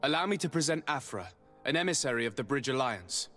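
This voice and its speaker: A formal noble envoy voiced by a man for a fantasy game. His mid-range voice is measured and courteous, earnest when he persuades. Music plays softly behind him. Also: mid-range voice, animated, natural voice. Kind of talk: video game cutscene acting. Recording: background music under the voice.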